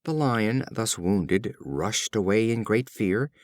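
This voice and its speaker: A man narrating short fables as an audiobook reader, in a measured, expressive storytelling style. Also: fairly low pitch, no echo.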